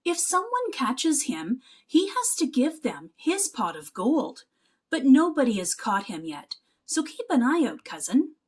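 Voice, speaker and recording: Woman voicing a cheerful, high young boy mouse cousin. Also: wildly swooping pitch, unhurried, slightly rough, full character voice. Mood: explaining. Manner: cheerful, explaining. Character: friendly young male Irish mouse cousin, welcoming guide.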